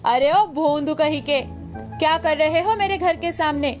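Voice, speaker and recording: A woman's voice: high-pitched, normal speed, soft background music, close to an everyday voice. A woman playing a stingy, quarrelsome old village woman in a cartoon moral story, scolding and suspicious. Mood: scornful, angry. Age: older.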